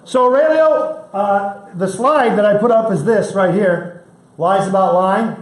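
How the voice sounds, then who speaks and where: A man's voice: high-pitched, measured pace. A man casually hosting a public talk, chatting and joking in a breezy, informal way. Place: indoors, public library room. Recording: echoey room, faint noise.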